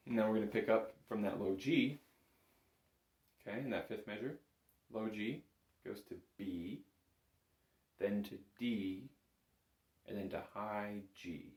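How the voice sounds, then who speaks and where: Low-pitched man teaching, in a roomy space. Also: darkish tone. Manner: informal, instructive, counting out notes. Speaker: bagpipe instructor. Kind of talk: step-by-step music lesson.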